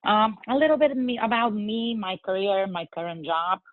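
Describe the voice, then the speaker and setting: A woman in her forties from Bulgaria, speaking English with a Bulgarian accent, answering interview questions in an earnest, measured way with frequent fillers. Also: medium pitch, no echo. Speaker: guest. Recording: tinny phone-like line.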